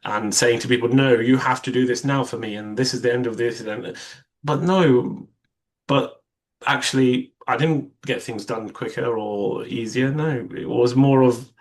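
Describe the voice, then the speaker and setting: A young man whose first language is Arabic talks through his ideas in an interview. His voice sits at a mid pitch, and he pauses and restarts often as he searches for words. He acts out other people's remarks as quotes in passing. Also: steady tone. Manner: hesitant, with frequent restarts and quoted asides. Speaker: vascular surgeon, interview guest. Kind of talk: reflective interview conversation.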